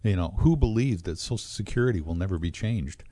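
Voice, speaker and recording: Man, very low voice, mellow, dry close sound, measured radio explainer, many fillers. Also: lively intonation, no echo. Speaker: financial advisor co-host. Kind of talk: radio talk show discussion.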